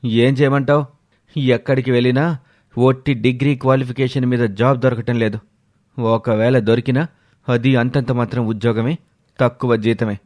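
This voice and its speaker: A man's voice: medium pitch, fairly even intonation, moderate pace, pauses often, a little mellow, dry recording, studio quality, natural voice. Bitter, complaining young husband acted by a man. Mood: defensive. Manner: complaining, resentful. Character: young husband, bitter, self-pitying, irritable.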